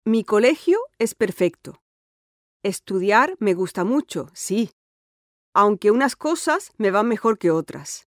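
A woman's voice: mid-range voice, sing-song, a little slow, no room sound, studio quality. A woman playing a cheerful, sporty sixteen-year-old schoolgirl in a scripted listening-test dialogue, talking in an eager, chatty and confident way. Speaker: interviewee in an exam listening dialogue.

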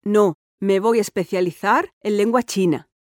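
A woman's voice: medium pitch, normal speed, no room sound, studio quality, lightly acted. A woman voicing an eager teenage schoolgirl. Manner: eager, chatty and confident. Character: cheerful sporty sixteen-year-old schoolgirl. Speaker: interviewee in an exam listening dialogue. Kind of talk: scripted dialogue for a listening test.

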